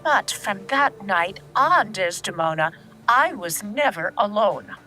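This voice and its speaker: An elderly grandmother in an audio drama, candid and steady as she recalls her past. Her delivery is unhurried and reflective, with expressive swings in pitch. Faint music sits behind the voice. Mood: somber, reflective. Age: older. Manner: reflective, measured storytelling.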